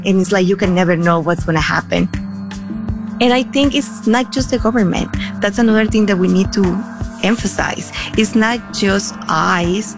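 Creaky-voiced woman with thick Latin American accent. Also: mid-range voice. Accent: thick Spanish-speaking Latin American. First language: Spanish. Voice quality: loud. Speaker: journalist guest. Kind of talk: earnest interview answers.

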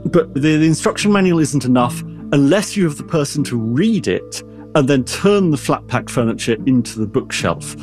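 A man's voice: a little high, expressive, moderate pace, darkish tone, soft background music. A man who is an expert guest, explaining science in an interview with everyday analogies and frequent restarts.

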